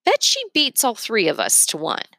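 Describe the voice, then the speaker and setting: Expressive woman reading a story aloud. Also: mid-range voice, moderate pace, gravelly, dry recording, clean studio sound. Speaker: read-aloud narrator.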